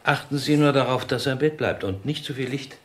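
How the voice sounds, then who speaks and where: A man acting a brisk, reassuring family doctor in a German radio play. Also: medium pitch, natural voice. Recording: distant, echoey, noisy.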